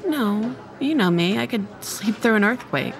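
A woman's voice: fairly high pitch, expressive, unhurried, a crowd in the background. A young woman acting a chatty, blunt college student who cares about her friend, in a casual, wry audio drama delivery. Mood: mildly exasperated. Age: young adult. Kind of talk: dramatic dialogue in old time radio style audio play.

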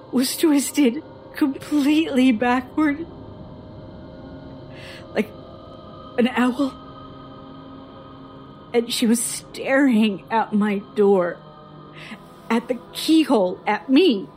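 A haunted woman recalls childhood terrors in a horror audio drama. She speaks very slowly and haltingly, with an uneasy, suspenseful hush and faint music underneath. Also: high voice. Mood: distressed, uneasy. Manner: slow, halting, hushed suspense. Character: haunted woman recounting frightening childhood memories to a doctor.

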